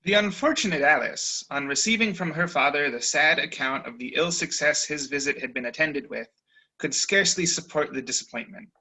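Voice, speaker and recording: Bright-voiced male narrator. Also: a little high, fairly quick, rough recording. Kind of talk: dramatic reading narration. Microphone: video call.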